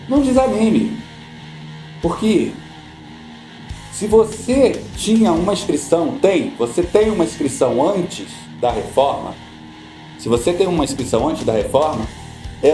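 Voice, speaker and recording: A middle-aged Brazilian man, a guest on a podcast, who explains pension rules patiently and often repeats his points to calm the listener. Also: a little high, roomy sound. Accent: Brazilian Portuguese. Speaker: social security law guest.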